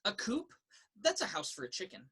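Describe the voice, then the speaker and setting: A woman reads a children's picture book aloud. Her pitch moves in a lively, expressive way through a sing-song rhythm. The voice has a husky, slightly rough edge. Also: brisk. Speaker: story reader. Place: indoors in a children's library.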